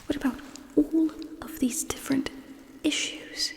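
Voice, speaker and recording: A young woman voicing a coolly menacing, scheming female alien leader in a breathy, deliberate ASMR roleplay whisper. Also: high voice, voice pushed up. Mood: callous, dismissive. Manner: slow, deliberate, hushed, coolly condescending. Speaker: ASMR performer. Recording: long reverberant echo.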